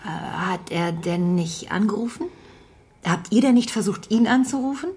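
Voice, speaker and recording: A woman's voice: medium pitch, lively intonation, normal speed, darkish tone, husky. A woman acting an impatient, privileged young granddaughter in a naturalistic German radio drama. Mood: dismissive, agitated.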